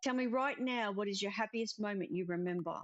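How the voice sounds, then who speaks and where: A woman's voice: mid-range voice, a little fast, lo-fi sound, natural voice. A woman reading the part of a dead brother's earnest, emotional spirit in a visual novel, emphatic and pleading. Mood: pleading, insistent.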